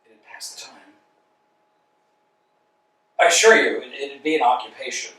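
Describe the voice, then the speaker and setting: Vladimir, a man playing a lively fretful tramp. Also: medium pitch, a little slow. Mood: coaxing. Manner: lively, coaxing and impatient. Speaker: actor in a staged reading. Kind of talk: casual stage play reading. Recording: echoey room, mic far off.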